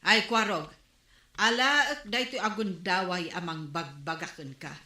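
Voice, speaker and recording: A woman's voice: lowish voice, measured pace, bright, forceful tone. A woman playing a fed-up scolding neighbor. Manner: complaining, scolding. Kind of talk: radio drama acting. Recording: echoey room.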